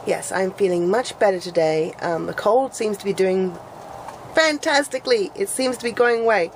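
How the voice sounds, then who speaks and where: A woman's voice: mid-range voice, normal speed, low-quality recording. A woman talking casually to her viewers, her voice stuffy and nasal from a cold that hasn't quite gone. She rambles, loses her thread and starts over often. A faint noise sits under the recording. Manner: rambling, trails off and restarts. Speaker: vlogger. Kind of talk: casual chat to camera.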